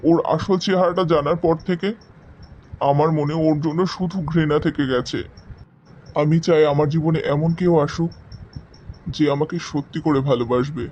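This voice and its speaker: A man's voice: fairly high pitch, steady tone, moderate pace, long pauses, darkish tone, lo-fi sound, slight background noise, close to an everyday voice. A man playing a hurt, resolute young man in a cartoon drama, speaking in an emphatic, accusing way. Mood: resolute, scornful. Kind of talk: dramatic animated story dialogue.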